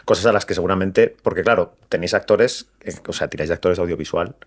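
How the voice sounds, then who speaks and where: Low voiced guy interviewing, talks fast and casual.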